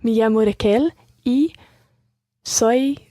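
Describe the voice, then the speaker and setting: A woman working through a podcast lesson as the learner. Her voice is a touch higher than average but warm and mellow, and she speaks slowly and carefully, echoing each phrase. She is recorded close and dry. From Scotland. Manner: careful, measured repetition. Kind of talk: repeating phrases in a language lesson.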